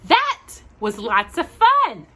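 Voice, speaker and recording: A woman's voice: sits high, huge pitch swings, slow pace, sharp tone, voice pushed up, exaggerated voice. A woman voicing a cheerful, kindly boy rag doll in a storybook, earnest and cheery.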